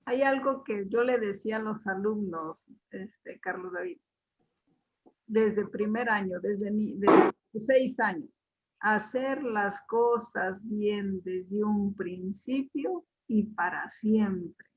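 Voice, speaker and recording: A woman teacher commenting on a webinar video call, slow and thoughtful. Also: mid-range voice, highs cut off.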